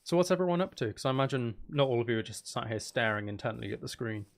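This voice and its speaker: A man's voice: mid-range voice, expressive, quick pace, creaky. A man chatting casually and thinking aloud as he hosts a live game stream, talking to his viewers.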